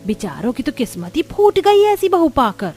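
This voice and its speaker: A woman's voice: high voice, big pitch swings, normal speed, warm tone. A woman playing a scolding, exasperated middle-aged mother-in-law in a cartoon story. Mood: complaining. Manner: nagging, complaining. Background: background music.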